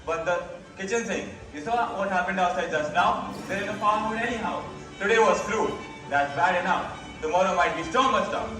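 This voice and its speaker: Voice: mid-range voice, a little fast, sharp tone, close to an everyday voice. Student as a grumbling councillor, echoey hall. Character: grumbling, blame-shifting town councillor. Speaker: student actor in school play. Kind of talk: rhymed verse stage acting. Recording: echoey school hall from far, faint music.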